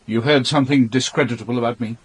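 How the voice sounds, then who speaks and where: An actor playing a smooth-talking, evasive married lover pleading with his mistress in a radio drama, stammering when pressed. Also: mid-range voice, fairly even intonation, fast talker, natural voice, poor audio. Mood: wary, placating.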